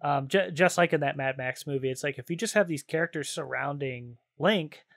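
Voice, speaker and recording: A man's voice: a little high, fairly quick, gravelly, fairly bright tone, no room sound, clean studio sound. A man chatting casually and enthusiastically as a podcast co-host, in informal slangy phrasing. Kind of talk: casual chat about games and films.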